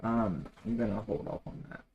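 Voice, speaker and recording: A man's voice: lowish voice, steady tone, moderate pace, gravelly, muffled recording, slight background noise. A tired man losing his voice, rambling with many fillers as he chats casually on a live trading stream.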